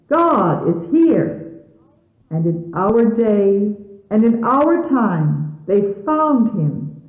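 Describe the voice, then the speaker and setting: A woman reading religious messages aloud in a solemn, deliberate, reverent and formal manner. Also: medium pitch. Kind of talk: solemn scripted reading of sermons. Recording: muffled, echoey 1970s phone-like tape.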